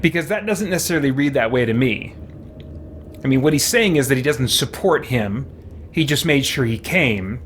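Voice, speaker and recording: A man giving casual live-stream game commentary, thinking out loud and reading chat messages. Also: mid-range voice, lively intonation, normal speed, a little hiss or hum. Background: game music.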